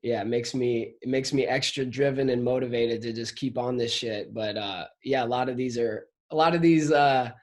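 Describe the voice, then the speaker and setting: A man's voice: mid-range voice, normal speed, hardly any pauses, darkish tone. A man in his late twenties hosting a casual chat, talking in loose slang peppered with "man" and "like". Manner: slangy, says "man" and "like" constantly. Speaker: host of a drummers' chat show.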